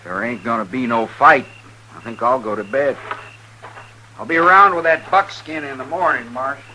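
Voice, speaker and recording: Sharp-voiced folksy horse trader. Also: male voice, mid-range voice, normal speed. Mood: easygoing. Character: easygoing drifting frontier horse trader. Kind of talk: 1950s radio western acting. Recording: noisy vintage 1950s radio recording.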